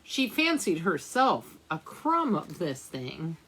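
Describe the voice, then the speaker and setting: A woman's voice: on the low side, very animated, slow pace, fairly bright tone, breathy edge, poor audio. A woman reading a picture book aloud for children's storytime, speaking to young listeners as she goes. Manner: addresses young listeners with questions and asides. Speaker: librarian storytime reader.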